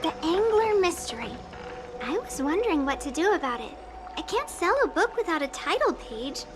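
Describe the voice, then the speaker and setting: A woman's voice: very high-pitched, big pitch swings, moderate pace. A woman voicing a timid, bookish young female shop clerk in a video game, hesitant and apologetic. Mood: hesitant, recollecting. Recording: music under the voice.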